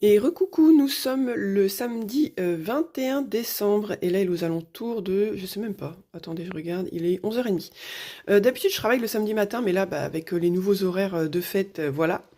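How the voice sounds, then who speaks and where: Brief: hoarse middle-aged woman vlogger, mellow tone, meandering chatty delivery from a home desk. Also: medium pitch, a little fast. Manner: rambling, full of fillers and restarts. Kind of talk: casual chat.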